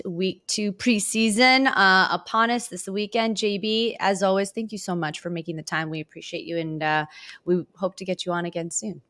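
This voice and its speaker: A woman's voice: medium pitch, fairly bright tone, dry recording, studio quality. A woman hosting a sports show in a conversational interview style.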